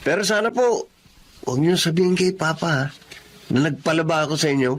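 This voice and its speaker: A man's voice: fairly high pitch, animated, fairly quick, slight background noise, close to an everyday voice. An actor playing an evasive, pleading young man in a Filipino drama. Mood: polite, furtive. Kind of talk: Filipino radio-style drama acting.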